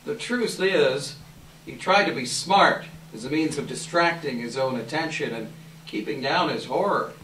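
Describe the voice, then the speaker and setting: A man narrating a classic story at a live reading, with measured, theatrical and emphatic phrasing. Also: fairly high pitch, pushed and bright. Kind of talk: dramatic scripted narration, live readers theater. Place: indoor live event room. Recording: echoey room, distant mic, faint noise.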